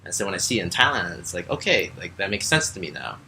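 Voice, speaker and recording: Young man, very low gravelly voice, laid-back interview talk, faint noise behind. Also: fast talker, poor audio. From United States. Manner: relaxed, many fillers. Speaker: Peace Corps volunteer. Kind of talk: casual interview answers.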